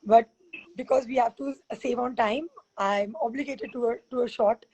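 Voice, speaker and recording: A woman hosting a casual interview over a video call, chatty and rambling, her voice husky from teaching back-to-back classes. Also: on the high side, moderate pace, a little mellow, low-quality recording. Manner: chatty, rambling, laughs often. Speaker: fitness expert and host.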